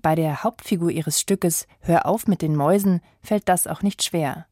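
A woman narrating a radio feature in a measured, reflective reading style. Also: fairly low pitch, dark tone, dry recording, studio quality. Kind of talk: scripted narration.

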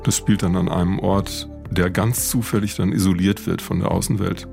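A man speaking as an expert guest, explaining things in a measured, academic way. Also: low voice, steady tone, creaky, music in the background. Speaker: expert guest, literature professor. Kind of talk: explanatory interview talk.

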